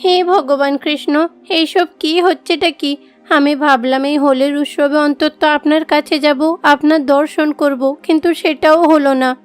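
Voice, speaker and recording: A woman voicing a meek, devout young village daughter in an animated moral story, earnest and plaintive. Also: high-pitched, measured pace, soft background music. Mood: dejected.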